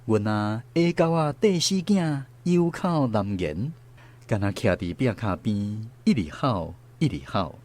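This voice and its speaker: A man's voice: fairly low pitch, expressive, unhurried, darkish tone. A man playing a son who looks back on his poor childhood, narrating a radio drama with a Taiwanese accent. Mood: plaintive. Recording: music under the voice.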